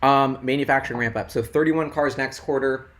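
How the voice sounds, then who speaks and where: A young man chats loosely on a livestream, tumbling from one excited thought to the next with filler words everywhere. His voice sits at an ordinary middle pitch and is wearing thin and hoarse. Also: fairly quick. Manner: rambling and excitable, heavy "like" filler. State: voice going hoarse. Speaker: investing livestream host. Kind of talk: casual livestream chat.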